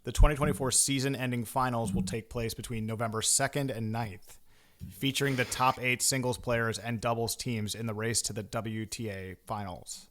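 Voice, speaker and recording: A man's voice: medium pitch, steady tone, a bit bright. A man with a mid-range voice co-hosts a podcast, reading news items aloud and breaking in with playful jokes. His tone is loose and casual. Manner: joking, playful, reads news items quickly. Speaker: podcast co-host.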